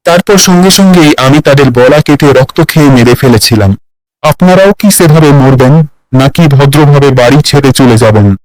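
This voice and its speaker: A man's voice: mid-range voice, lively intonation, normal speed, dry recording, natural voice, rough recording. A man voicing a resentful, threatening, bloodthirsty male ghost in a cartoon fairy tale. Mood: menacing. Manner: threatening, demanding.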